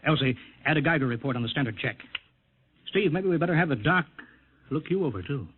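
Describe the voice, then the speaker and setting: An actor playing a gruff, blunt older chief of a test airfield in a 1950s radio drama. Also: male voice, fairly high pitch, lively intonation, fast talker, close to an everyday voice. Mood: stern. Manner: gruff, commanding. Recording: tinny old radio broadcast with hiss.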